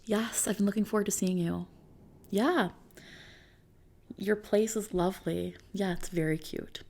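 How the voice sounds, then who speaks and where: A woman speaking softly and often near a whisper in an ASMR roleplay, gently reassuring like an attentive consultant. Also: medium pitch, unhurried. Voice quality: soft, hushed, often slipping into whispers. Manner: gentle, reassuring, attentive client-service talk. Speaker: ASMR roleplay performer playing a customization consultant. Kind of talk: soft-spoken ASMR roleplay.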